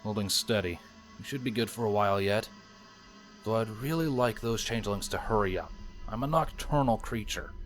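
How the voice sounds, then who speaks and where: A man's voice: on the low side, normal speed, soft background music, natural voice. Nocturnal stallion officer, voiced by a male reader: composed, weary, dry delivery for a fantasy story. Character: calm dry nocturnal stallion guard captain, tired.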